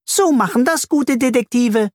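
A storyteller voicing an excitable little female detective bee, eager and a bit timid, with a buzzing delivery. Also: mid-range voice, measured pace, dry recording, clean studio sound, voice pushed up, natural voice. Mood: earnest, eager.

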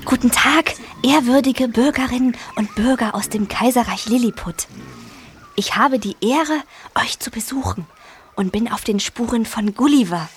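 A woman's voice: fairly high pitch, moderate pace, slight background noise, lightly acted. A woman playing a polite, earnest young girl giant in a children's audio drama. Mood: polite, formal.

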